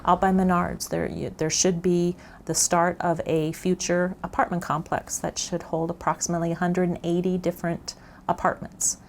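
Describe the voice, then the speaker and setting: Flat, creaky-voiced woman being interviewed. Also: lowish voice, normal speed, slight background noise. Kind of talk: halting unscripted interview answers.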